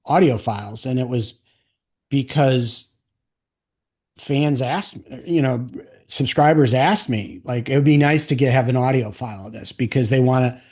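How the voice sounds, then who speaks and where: A man's voice: mid-range voice, unhurried, a little mellow, breathy edge. A man hosting a conversational interview, talking in a plain-spoken, explanatory way. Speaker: podcast host.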